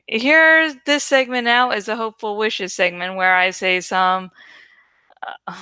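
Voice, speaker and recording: A woman's voice: fairly high pitch, pushed and bright, smooth, no room sound. A woman host talking casually to her viewers, rambling in an irreverent, sweary, giggly way. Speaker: host of a reading channel.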